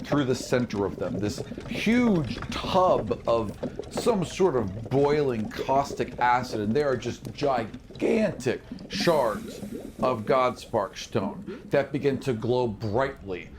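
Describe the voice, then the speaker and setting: A man working as a game master, describing scenes for a tabletop fantasy game in short, halting phrases. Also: medium pitch, background noise. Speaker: game master and podcast host.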